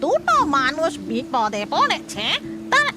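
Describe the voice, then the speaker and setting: Cartoon she-ghost played by a woman: grumbling, high, swooping pitch, loud music. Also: huge pitch swings, measured pace, exaggerated voice. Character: complaining female tree-dwelling village ghost. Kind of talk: cartoon voice acting.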